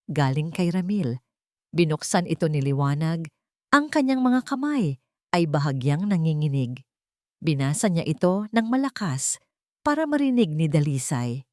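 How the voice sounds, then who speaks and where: A woman's voice: low-pitched, sing-song, normal speed, dry recording, clean studio sound. A woman narrating a scripted romantic drama story as host, in an earnest, sentimental manner.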